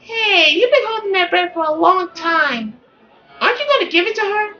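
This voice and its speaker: A woman's voice: extremely high pitch, animated, moderate pace, pushed and bright, dull audio, pitched above the performer's own voice, heavily acted. A woman voicing a bold, curious seven-year-old girl with a blunt, cheeky, childlike manner in a visual novel.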